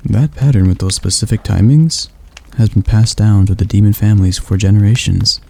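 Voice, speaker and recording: A man voicing a patient male demon tutor who teaches magic in a calm, gently commanding ASMR roleplay. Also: lowish voice, a little fast, gravelly. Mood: instructive.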